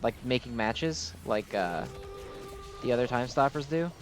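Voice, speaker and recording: Male gaming host, mid-pitched, loose and rambling casual commentary, music playing behind. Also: expressive, measured pace. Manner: rambling, easily distracted delivery. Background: game music.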